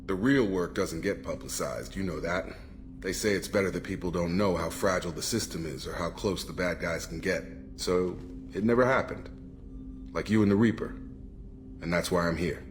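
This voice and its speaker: A man's voice: low voice, normal speed, a little hiss or hum, lightly acted. A man playing a serious, principled ex-military operative in a video game, speaking matter-of-factly. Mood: earnest, steady. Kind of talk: video game dialogue acting.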